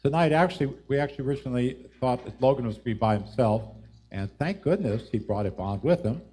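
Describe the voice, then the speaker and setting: A man's voice: mid-range voice, animated, brisk, dark tone, husky. A man hosting a live show, casually introducing the performers. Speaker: show host.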